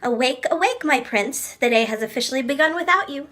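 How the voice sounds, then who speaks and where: Woman putting on a lively bright royal nursemaid. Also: high-pitched, a little fast, voice pushed up. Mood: coaxing. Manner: formal, storybook courtly phrasing. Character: veiled lady caretaker, gently bossy, teasing, affectionate royal nursemaid.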